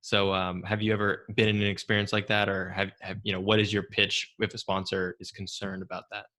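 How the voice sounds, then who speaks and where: A man hosting a conversational business interview, phrasing his points and questions in a measured way with pauses. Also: on the low side, level tone, pushed and bright. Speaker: interviewer, podcast host.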